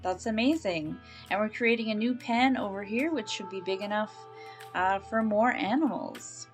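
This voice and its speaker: A woman's voice: medium pitch, moderate pace, soft background music. A middle-aged woman from Canada, a native English speaker, casually thinking aloud as she plays a game and narrates it. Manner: chatty, thinks aloud, coos at baby animals. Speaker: let's play host. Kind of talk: casual gameplay commentary.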